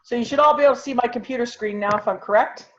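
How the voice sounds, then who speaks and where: A woman's voice: fairly high pitch, very fast. A woman giving an informal online tutorial, talking conversationally and thinking aloud as she demonstrates over a video call. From Canada. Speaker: online class instructor. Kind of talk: informal tutorial with screen sharing. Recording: muffled, lo-fi video call audio.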